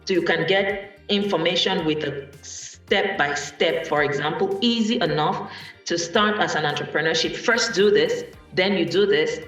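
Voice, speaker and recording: A speaker from Cameroon, telling a personal story at a panel event in a thoughtful way with many pauses and restarts. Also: medium pitch, a bit bright. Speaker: guest speaker, musician. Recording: echoey room from far off, faint music.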